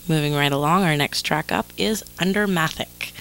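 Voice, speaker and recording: A woman hosting a radio show while sick, her voice scratchy, hoarse and stuffed up, speaking low-key and sometimes dropping to a whisper. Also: moderate pace, over music. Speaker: radio DJ host.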